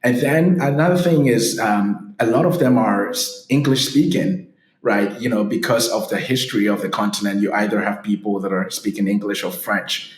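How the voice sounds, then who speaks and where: A man's voice: fairly low pitch, moderate pace, echoey room, low-quality recording. A man from Ghana, a startup founder, giving upbeat explanatory interview answers and often checking in with "right?".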